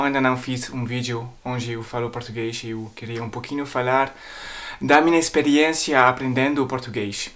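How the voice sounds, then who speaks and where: A male vlogger with a foreign accent and French as his first language gives a casual, explanatory talk. His voice sits at a middling pitch. He hesitates as he looks for words and often repeats himself. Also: fairly bright tone.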